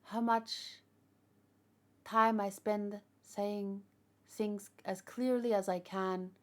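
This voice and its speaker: Woman, livestream host, medium pitch, casual fan chat, rambling, thinking aloud, tired. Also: fairly even intonation, extremely slow. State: tired after hours of streaming. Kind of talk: casual chat, fan theorizing to live viewers.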